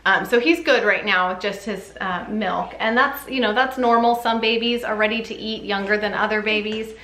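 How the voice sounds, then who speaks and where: A woman's voice: mid-range voice, steady tone, normal speed, sharp tone. A woman chatting casually to camera as a parenting vlogger, in an informal, chatty way full of "like". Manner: chatty, informal, often says "like". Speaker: parenting vlogger giving a baby update. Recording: echoey room with faint background noise.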